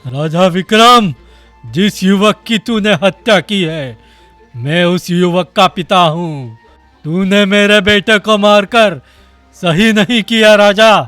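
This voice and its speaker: A man voicing a huge, vengeful male demon king in a cartoon, speaking slowly and threateningly. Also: high voice, fairly bright tone, dry recording, soft background music. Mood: menacing. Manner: threatening, slow, commanding. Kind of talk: Hindi cartoon voice acting.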